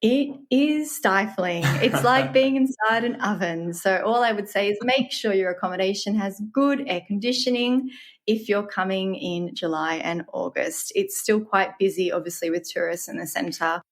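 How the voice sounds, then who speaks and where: A woman's voice: mid-range voice, normal speed. Friendly Australian woman wine guest. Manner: friendly, laughs easily. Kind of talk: casual interview chat.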